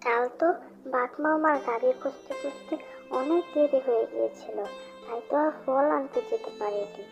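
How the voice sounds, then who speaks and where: A woman's voice: high-pitched, normal speed, vocal fry. A woman voicing an anxious, hard-working animal mother who sells fruit in a fairy-tale cartoon. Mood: worried. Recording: dull lo-fi audio with music behind.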